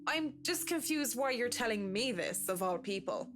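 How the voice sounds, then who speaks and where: A woman's voice: a little high, lively intonation, moderate pace, music in the background, natural voice. An actress playing an earnest, guarded and politely formal young female architect in a visual novel game.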